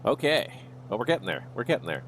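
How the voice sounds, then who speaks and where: A man's voice: medium pitch, very animated, fast talker, fairly bright tone, slight background noise, low-quality recording. A man streaming a flight sim, casually talking himself through each step of the flight as he goes. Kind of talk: livestream commentary, thinking aloud.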